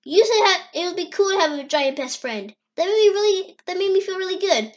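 A woman's voice: very high-pitched, animated, fairly quick, muffled recording. A woman voicing an eager, playful little boy fox in an amateur comic dub. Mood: defiant, hurt.

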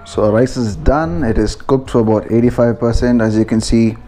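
A man's voice: mid-range voice, steady tone, a little fast, dark tone, soft background music. A man with an Indian accent giving a casual, informal step-by-step cooking narration as a home vlogger in a kitchen.

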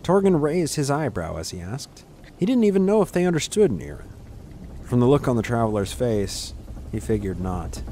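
A man narrates a fantasy tale as a scripted audiobook. His voice is medium in pitch and his delivery is dramatic, with clear ambient noise behind it. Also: big pitch swings, moderate pace, warm tone.

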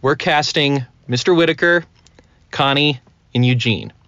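A man's voice: mid-range voice, a little slow, fairly bright tone, no echo, dull audio. A man hosting a casual podcast chat, asking questions in a teasing, joking way. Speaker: podcast host.

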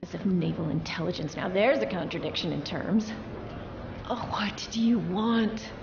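A woman's voice: fairly low pitch, normal speed, gravelly. An actress playing a weary, sarcastic woman office worker, dry and exasperated, in a game audio drama. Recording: muffled, echoey, noisy ambience.

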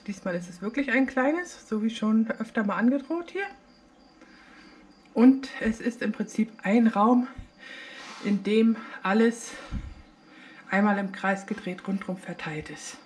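A woman calmly showing her viewers around a room in a travel vlog. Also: mid-range voice. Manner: calm, shows viewers around, listing things. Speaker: travel vlogger. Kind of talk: casual travel vlog narration.

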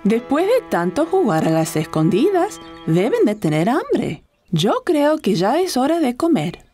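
A woman voicing a warm, encouraging young mother in a children's cartoon dub, speaking gently. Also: on the high side, wildly swooping pitch, a little slow, faint music behind. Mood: gentle.

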